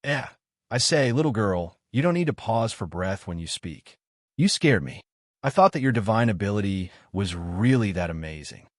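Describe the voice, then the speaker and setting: A man's voice: lowish voice, expressive, normal speed, no echo, studio quality, close to an everyday voice. A man voicing a brash, cocky young male fantasy hero in an audiobook, exclaiming and scoffing as he talks. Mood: incredulous. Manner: exclamatory, scoffing, self-interested.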